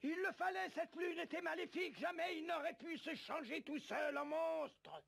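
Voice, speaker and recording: An actor playing an animated character in a dubbed cartoon series, with music behind. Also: very high-pitched, moderate pace, creaky, exaggerated voice. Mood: grave.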